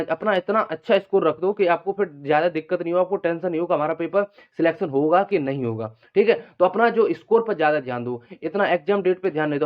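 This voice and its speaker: A man's voice: on the high side, lo-fi sound. A man giving an informal, unscripted exam update to aspirants, explaining repetitively with frequent check-in tags. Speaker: exam-news video host, coaching aspirants.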